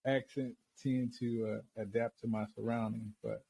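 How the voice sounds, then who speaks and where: A man's voice: medium pitch, little pitch movement, moderate pace, gravelly, warm tone, breathy edge. A man co-hosting a podcast in easygoing, slangy chat, a talkative military brat now living in the South. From grew up as a military child, now living in the American South. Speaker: podcast co-host.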